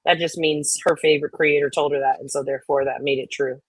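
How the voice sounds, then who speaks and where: A woman's voice: sits low, fairly even intonation, fast, slightly rough, no room sound, poor audio. A woman co-host chatting informally and profanely between readings of online comments. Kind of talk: casual banter and dramatic readings.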